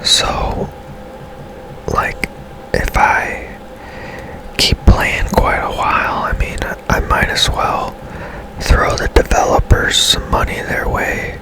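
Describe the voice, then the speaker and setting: A man giving casual, hushed ASMR commentary over a video game in a breathy whisper, musing in an unhurried way. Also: rough recording. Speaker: game streamer, host.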